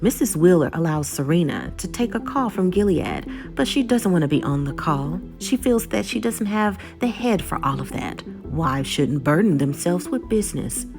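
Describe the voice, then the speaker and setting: A woman's voice: lowish voice, big pitch swings, moderate pace, creaky, few pauses, music in the background. A woman narrating a scripted episode recap as the host of a video channel.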